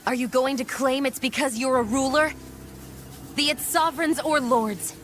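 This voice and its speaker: Assertive heroine, slightly high voice. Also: female voice, moderate pace, a bit bright, a little hiss or hum. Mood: scornful. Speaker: video game character voice actor. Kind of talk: dramatic game cutscene dialogue.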